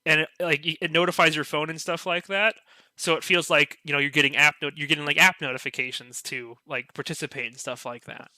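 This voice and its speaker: A man you'd hear on a hobbyist podcast, sharp-edged voice in a dry space, meandering eagerly through his point. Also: a little high, fairly even intonation, fairly quick, no echo. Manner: rambling, peppered with filler "like". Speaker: podcast panelist. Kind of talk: casual discussion.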